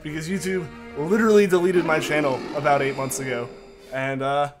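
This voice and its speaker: A young man with a slightly higher voice rambles casually to his live-stream chat, heavy with fillers and repeats. He has a slight lingering cough, and music plays clearly underneath him. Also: steady tone, brisk. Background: music playing underneath.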